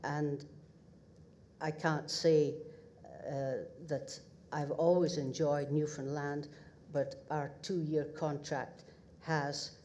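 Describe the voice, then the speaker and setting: Slow older woman, northeast Scottish accent. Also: low-pitched, flat delivery, mellow, a little hiss or hum. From northeast Scotland. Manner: halting, with pauses and fillers, wry humour. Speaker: award recipient. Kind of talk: acceptance speech. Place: ceremony hall at a podium.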